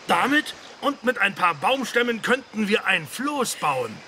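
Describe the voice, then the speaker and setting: A man's voice: high voice, sing-song, measured pace, bright, forceful tone, slight background noise, heavily acted. A man playing a keen, self-pleased islander in a children's audio drama. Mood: eager.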